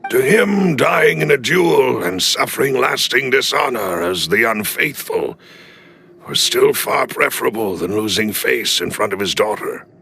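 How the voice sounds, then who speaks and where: An actor playing a loyal, deferential older male retainer who speaks gravely and formally in a video game cutscene. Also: medium pitch, expressive, pushed and bright, slight background noise, full character voice. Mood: solemn, gentle. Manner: formal, measured, deliberate phrasing.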